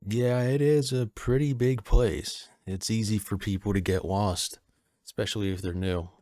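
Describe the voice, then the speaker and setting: A man playing a friendly, easygoing personal assistant at a manor in an audio roleplay, soft-spoken, casual and a little hesitant. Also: on the low side, normal speed, no room sound, studio quality, lightly acted. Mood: welcoming, easygoing.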